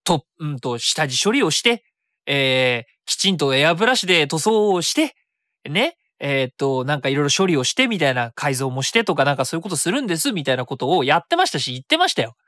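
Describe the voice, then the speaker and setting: A man's voice: fairly high pitch, big pitch swings, a little fast, bright, forceful tone, no echo, studio quality. A man hosting a web radio show solo in a casual, chatty style, often interrupting himself and voicing his own thoughts. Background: background music.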